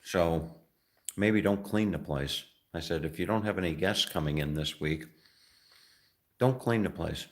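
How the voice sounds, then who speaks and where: A man from the United States with an extremely low, deep voice, talking loosely to the camera as a travel vlogger. He rambles, halts and restarts, filling gaps with "uh" and "you know". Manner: rambling, halting, many fillers and restarts. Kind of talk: casual vlog talk.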